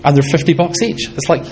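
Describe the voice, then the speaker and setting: A man with a Scottish accent giving a fast, halting technical talk to a hall audience, with many restarts and fillers. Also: fairly high pitch, noisy background. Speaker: conference speaker.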